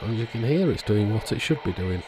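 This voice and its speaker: A man calmly narrating a radio repair at his workbench in a matter-of-fact way. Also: lowish voice, a little fast. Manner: relaxed, matter-of-fact commentary while working. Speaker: electronics repair channel host. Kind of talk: narrated bench repair tutorial. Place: workbench indoors.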